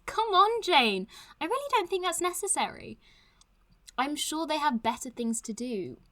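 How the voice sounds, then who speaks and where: A woman playing a playful, stubborn young podcast host in an audio drama, with a breezy, teasing, expressive delivery. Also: high-pitched, measured pace, exaggerated voice. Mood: reluctant.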